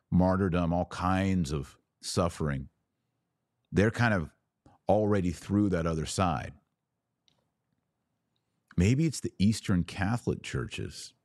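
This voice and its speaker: A man's voice: low voice, expressive, slow, long pauses, a little mellow, no room sound, studio quality. A man hosting a podcast, giving unscripted religious commentary in an emphatic way, repeating phrases for weight.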